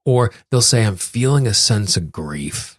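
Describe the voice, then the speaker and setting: A man's voice: on the low side, lively intonation, measured pace, no room sound, studio quality. An American man hosting a solo podcast, explaining things and speaking directly to his listeners. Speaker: podcast host.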